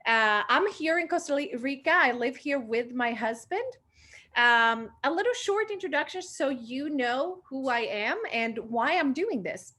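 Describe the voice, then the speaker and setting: A woman in her late twenties from Sweden, a confidence coach talking on a group video call, a little short of breath and pausing for air. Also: sits high, expressive, sharp tone. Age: 20s.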